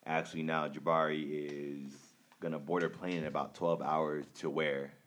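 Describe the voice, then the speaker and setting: Very deep, flat-voiced male host. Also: normal speed. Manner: laid-back, many filler words. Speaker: podcast host. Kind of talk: casual podcast talk.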